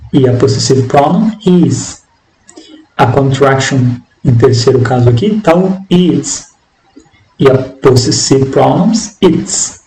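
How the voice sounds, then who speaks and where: A man with a Brazilian accent teaching an English lesson, reading material aloud and explaining it in a deliberate, didactic manner. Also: medium pitch, darkish tone. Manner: deliberate, didactic, repeating phrases in translation. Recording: echoey room with faint background noise.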